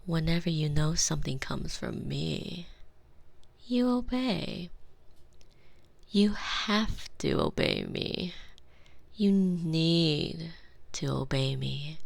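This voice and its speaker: A woman whispering slow, commanding hypnosis ASMR with a soft, breathy voice. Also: sits low, very animated, no echo.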